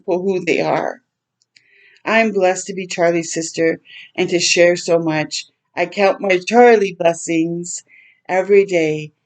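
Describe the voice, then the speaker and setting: A woman reads a picture book to children as a storytime host. Her voice sits a little low and has a very mellow, relaxed tone. She is gentle and expressive, adding tender asides to her listeners. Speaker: children's read-aloud host.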